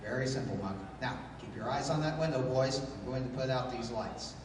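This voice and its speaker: Commanding pulp-hero leader played by a man, analytical 1930s radio manner, mid-pitched voice, very echoey noisy recording. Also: fairly quick, natural voice. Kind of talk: 1930s-style radio play acting. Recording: echoey hall from far off, noisy.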